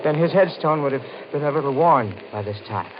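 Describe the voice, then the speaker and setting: A man's voice: fairly high pitch, animated, fairly quick, lightly acted. An actor playing a haunted, confused widower in an old-time radio horror play, dramatic and faltering in his speech. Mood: insistent. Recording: noisy, muffled old radio recording.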